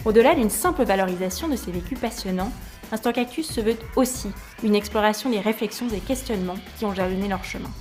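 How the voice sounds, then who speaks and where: A woman's voice: mid-range voice, fast, darkish tone, soft background music. A woman speaking as the host and interviewer of a podcast. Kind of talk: scripted podcast introduction and interview.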